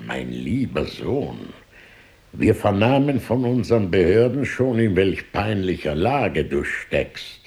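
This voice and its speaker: An actor playing a pompous, scheming old Pope who declaims verse slyly in a theatrical radio play. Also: male voice, low-pitched, vocal fry, a little mellow, exaggerated voice.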